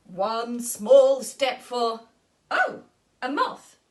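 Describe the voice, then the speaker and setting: A woman's voice: a little high, animated, extremely slow, darkish tone. A woman voicing a friendly, jovial giant astronaut in a children's storybook, with a breezy, chummy manner. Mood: surprised.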